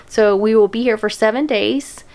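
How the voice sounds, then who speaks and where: A middle-aged woman chatting casually to camera as an RV travel vlogger, upbeat and quick to laugh. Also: on the high side, a little mellow. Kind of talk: casual vlog chat to camera.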